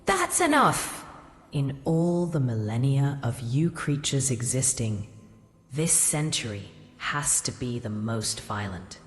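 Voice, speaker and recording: An actress playing an ancient, imperious goddess in a fantasy audio drama, speaking in a solemn, regal, formal way. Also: female voice, a bass voice, very animated, slow, exaggerated voice. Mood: stern, commanding. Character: ancient imperious goddess in a queen's body, ghostly, commanding. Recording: cavernous reverb, faint music.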